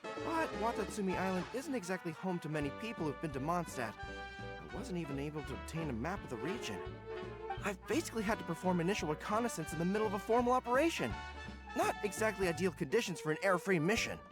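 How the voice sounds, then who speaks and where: A man's voice: sits high, very animated, quick pace. A man voicing an earnest, formal young general who gets easily flustered, in a video game. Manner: formal military phrasing with flustered stammers. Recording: background music under voice.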